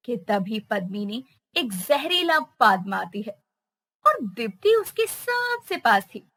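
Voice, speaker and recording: Casting: woman storyteller, expressive, slow and bright. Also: high voice. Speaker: narrator. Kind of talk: storytelling narration.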